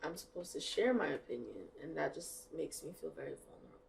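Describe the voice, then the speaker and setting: A woman co-hosting a podcast, speaking candidly in a casual chat with friends and often chiming in with quick agreement. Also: low voice, big pitch swings, vocal fry. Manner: quick affirming interjections, then open and candid. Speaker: podcast co-host. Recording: mic at a distance, faint noise.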